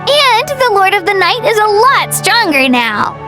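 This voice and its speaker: A tiny, very high child's voice, curious and eager. It swings in pitch at a slow pace, in game cutscene style with music behind. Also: female voice, sing-song, heavily acted. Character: small eager child, curious and wide-eyed. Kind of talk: video game cutscene acting.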